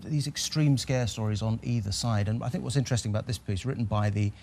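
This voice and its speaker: A man with a rough, gravelly edge and a mellow, dark tone talks through the day's papers in a television studio. He speaks in a measured way and pauses on fillers as he builds his points. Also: fairly low pitch, slight background noise. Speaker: newspaper review guest. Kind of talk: casual studio discussion.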